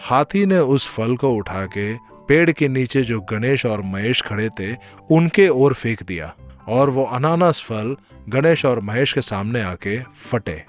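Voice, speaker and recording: A man narrates a children's story in a scripted storytelling style. His voice sits at an average male pitch. Music plays behind him.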